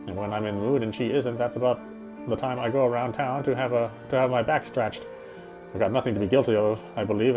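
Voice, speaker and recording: Man voicing a fast, wry British narrator. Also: medium pitch, close to an everyday voice. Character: wry, self-justifying British husband's inner monologue. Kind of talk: first-person visual novel narration.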